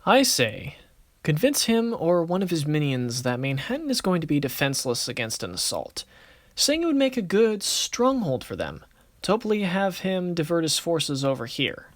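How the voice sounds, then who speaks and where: A man's voice: a little high, big pitch swings, normal speed, dry recording. A man voicing a confident, cocky but good-natured young card duelist in casual, swaggering banter for an amateur anime-style series. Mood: grave, scheming.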